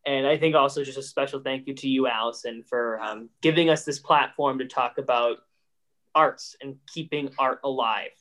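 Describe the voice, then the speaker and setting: A teenage boy from a high school drama club, speaking earnestly in a casual interview. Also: steady tone, moderate pace, a bit bright. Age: teens. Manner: earnest, eager.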